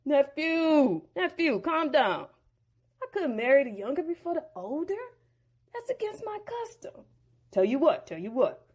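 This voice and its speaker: A woman voicing a scheming older uncle who talks smoothly in slang and hums over his deals, in a storybook audio drama. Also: sits high, very animated, measured pace, a little mellow, pitched above the performer's own voice. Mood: placating. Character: scheming older male uncle, sly dealmaker.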